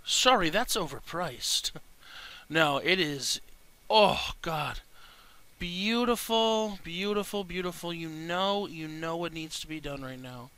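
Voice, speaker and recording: A young man with a congested, stuffy voice, chatting casually and excitably as he comments on his own gameplay. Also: fairly high pitch, slow, clear, resonant tone. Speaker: gaming video host.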